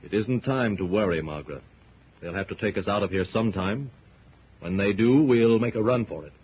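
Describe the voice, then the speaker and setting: Man playing a fearless male hero, very low voice, terse, commanding, 1930s radio drama, muffled recording. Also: fairly quick, a little hiss or hum, lightly acted. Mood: calm, reassuring. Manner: terse, clipped orders. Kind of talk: 1930s radio adventure serial acting.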